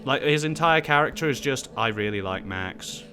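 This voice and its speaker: A man's voice: mid-range voice, animated, normal speed, bright, forceful tone, soft background music. A man hosting a casual Q&A with friends, reading out viewer questions in a dry, teasing way.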